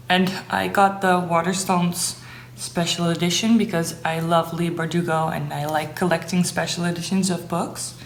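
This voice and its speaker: Young Dutch woman vlogging in an echoey room. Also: on the low side. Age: young adult. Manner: enthusiastic, gushing about things enjoyed. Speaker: book vlogger. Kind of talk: casual chatty vlog. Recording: echoey room, faint background noise.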